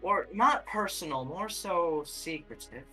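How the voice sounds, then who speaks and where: A roleplay player speaking in character, measured and cryptic, often trailing off and changing the subject. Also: medium pitch, expressive, music in the background, poor audio. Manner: measured, cryptic, keeps saying anyways.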